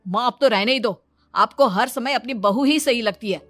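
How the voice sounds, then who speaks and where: A woman's voice: on the high side, moderate pace, fairly bright tone, lightly acted. A woman voicing an irritable, fault-finding young husband in a moral story. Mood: annoyed, accusing. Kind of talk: moral story character dialogue. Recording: music behind the voice.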